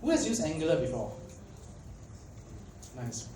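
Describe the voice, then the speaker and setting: A man's voice: a little high, huge pitch swings, unhurried, mellow. Malaysian-born man speaking in an echoey hall. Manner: chatty, filler-heavy, asks the audience questions. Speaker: tech conference speaker. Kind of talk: casual conference talk. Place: conference hall. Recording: echoey hall, mic at a distance, faint background noise.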